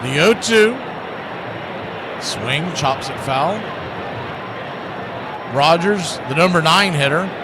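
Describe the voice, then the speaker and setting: A fairly high-pitched man calls a baseball game play by play in short, live phrases. A loud wash of outdoor-like noise runs behind his voice. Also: expressive, slow pace, pauses often. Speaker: baseball play-by-play announcer, host. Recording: very noisy outdoor-sounding ambience behind.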